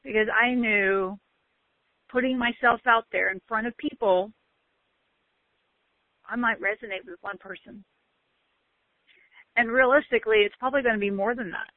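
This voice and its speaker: A woman delivers a motivational talk in a loud voice, drawing out words she wants to land. The sound is thin and phone-like. There is no room echo at all. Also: fairly high pitch. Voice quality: loud, bellowing voice. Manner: emphatic, drawn-out stresses. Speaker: motivational speaker. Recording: tinny phone-like line, dry.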